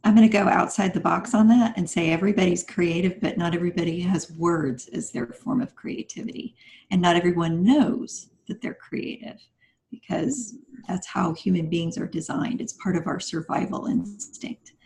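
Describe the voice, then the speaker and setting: A woman's voice: on the low side, steady tone, normal speed, gravelly, darkish tone, a little hiss or hum. A woman speaking conversationally as a writer guest on a podcast, explaining things earnestly. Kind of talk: conversational discussion.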